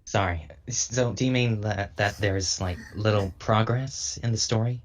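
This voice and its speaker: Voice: medium pitch, measured pace, a little hiss or hum. A hesitant panelist asking and answering casually in a group chat.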